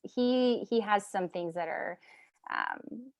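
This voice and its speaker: A woman guest on a podcast, talking enthusiastically in quick bursts about education. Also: medium pitch, animated, creaky, dry recording, clean studio sound. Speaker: podcast guest, homeschooling advocate. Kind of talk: casual chat.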